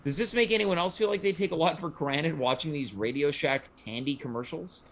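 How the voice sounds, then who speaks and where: Male panel-show host, pitch a bit above average, showy and mock-formal in a casual setting. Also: brisk, a bit bright.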